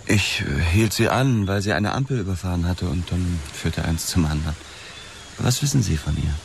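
A man's voice: sits low, lively intonation, normal speed, vocal fry, noisy background. An actor playing a laconic, wistful policeman in his thirties, an ex-boxer, with terse, dry delivery in a radio drama. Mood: guarded. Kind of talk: radio drama dialogue and first-person narration.

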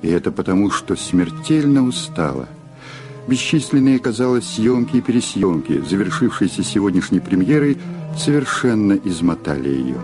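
A man's voice: on the low side, sing-song, normal speed, a little mellow. A man narrating a Russian audio drama in a dramatic scripted storytelling style. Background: music behind the voice.

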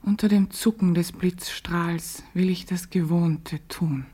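A woman playing a grieving, dreamy woman in a literary radio play, speaking a solemn, lyrical monologue in a breathy, hushed voice. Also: lowish voice, steady tone. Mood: hushed mourning. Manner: solemn, measured, lyrical delivery. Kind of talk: poetic interior monologue, German radio drama.

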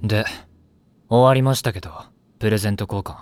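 A man voicing a cool-headed, understated high-school boy in an anime audio drama, dry and matter-of-fact. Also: a little fast, darkish tone, clean tone, no echo, natural voice. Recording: music faintly behind the voice.

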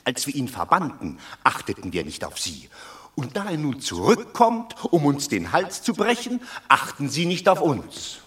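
Gloomy roman elder, grave and reproachful, lively pitch, radio play. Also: male voice, normal speed. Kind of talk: classical verse drama, radio play.

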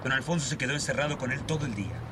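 A man's voice: medium pitch, animated, rapid-fire, background noise, lightly acted. An actor playing an agitated, suspicious man who sees conspiracies in his neighborhood, in a radio drama. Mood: conspiratorial.

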